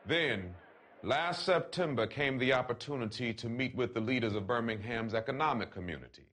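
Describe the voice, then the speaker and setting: A man reads a written letter aloud in a solemn, oratorical way, with deliberate phrasing and emphatic pauses. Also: mid-range voice, a bit bright. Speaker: reader of a letter.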